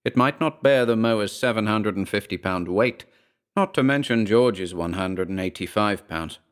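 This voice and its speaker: A man narrating a scripted horror story in a measured, dramatic storytelling manner. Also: lowish voice, clear, resonant tone.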